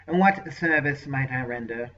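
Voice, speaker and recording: A man voicing a scheming male sorcerer with a deeply nasal, unctuous, smug manner in an audiobook. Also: measured pace, fairly bright tone, recorded from a distance, a little hiss or hum, lightly acted. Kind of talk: audiobook character voice. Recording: lo-fi dull recording.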